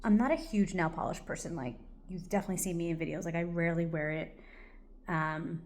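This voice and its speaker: Rambling woman with a lowish voice. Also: a little fast. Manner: rambling, loose, full of "like" and "um". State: a little congested, stuffy. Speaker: vlogger. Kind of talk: casual get-ready-with-me chat.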